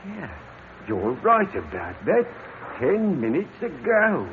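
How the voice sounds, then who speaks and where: Fussy, higher-voiced station attendant. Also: male voice, wildly swooping pitch, takes it slowly, full character voice. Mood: cautious. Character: cautious, fussy male station checkroom attendant. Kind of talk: vintage radio drama acting. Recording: narrow-band old radio recording, faint noise.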